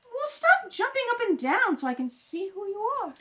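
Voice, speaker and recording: A woman's voice: very high-pitched, sing-song, moderate pace, fairly bright tone, exaggerated voice. A woman playing a dotty middle-aged society matron in a radio comedy, vague, fluttery and plaintive. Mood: dazed, plaintive. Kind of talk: 1930s radio comedy read-through.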